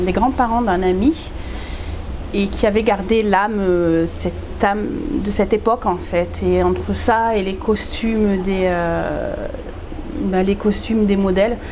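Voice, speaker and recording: A woman's voice: medium pitch, takes it slowly, noisy background. A woman in her forties from northern France, a photographer being interviewed, who answers casually with many hesitations and restarts, recorded with a lapel mic in a greenhouse. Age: 40s. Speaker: photographer and event organizer. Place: glass greenhouse room.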